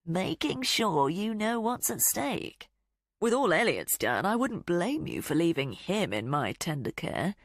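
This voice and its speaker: A woman voicing a calm, menacing male villain who speaks with mocking politeness in an audiobook. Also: fairly low pitch, a little slow, dry recording, studio quality, heavily acted. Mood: taunting.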